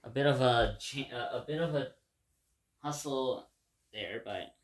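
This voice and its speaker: A man from Ecuador whose first language is Spanish talks through his day in a loose, rambling video diary. He repeats words and restarts his sentences as he goes. His voice sits at an ordinary middle pitch for a man. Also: a little slow, low-quality recording. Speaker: vlogger. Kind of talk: casual spoken reflection to viewers.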